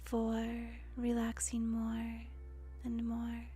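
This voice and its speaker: A woman guides a meditation very slowly, in a hushed, breathy voice with a very even, nearly unvarying pitch. Her delivery is soothing and deliberate, often sinking toward a whisper, with faint music behind her. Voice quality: soft, breathy, often trailing into near-whisper. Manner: soothing, gentle, deliberate with pauses. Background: soft music.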